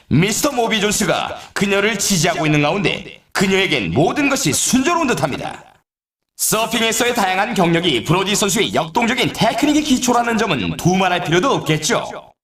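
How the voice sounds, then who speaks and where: A man's voice: high-pitched, big pitch swings, brisk, crowd noise. A man playing an upbeat snowboard race announcer in a video game, with a dramatic, showy commentary delivery. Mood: enthusiastic. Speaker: sports announcer. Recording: echoey, distant sound.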